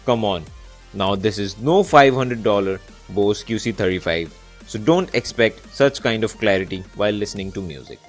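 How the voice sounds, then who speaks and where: A man's voice: on the low side, moderate pace. A man presenting a scripted product review as a video reviewer, in narration style. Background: background music.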